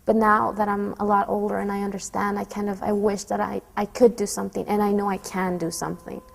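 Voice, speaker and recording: An earnest young woman from Honduras talks reflectively as a student. Her voice has a gravelly fry and an even, level delivery. A long reverb hangs on the recording. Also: mid-range voice, a little fast. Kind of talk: personal testimony.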